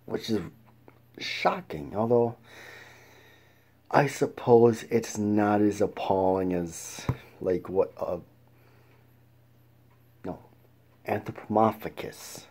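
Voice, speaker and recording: A man hosting a casual film review show, talking in a halting way with restarts and throat clearing. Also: lowish voice, fairly even intonation, a little mellow. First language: English.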